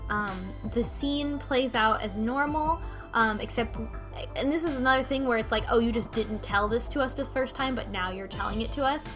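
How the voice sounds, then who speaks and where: A woman talking casually in a group discussion, recapping a game in a halting way and often restarting mid-thought. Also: mid-range voice. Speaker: podcast host recapping a visual novel.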